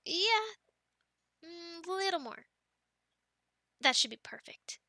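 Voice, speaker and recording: A young woman voicing an affectionate, teasing girlfriend in an ASMR roleplay, speaking softly, giggling and dropping into whispers, close to the mic. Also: extremely high pitch, very animated, slow, no room sound, full character voice. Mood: playful. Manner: soft and giggly, drifting into whispers.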